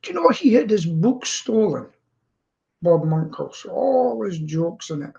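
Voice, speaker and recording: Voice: medium pitch, very animated, a little slow, creaky, dark tone. Rambling chatter on a dull online call. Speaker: co-host of online chat show. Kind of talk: casual chat. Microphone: live streaming video call.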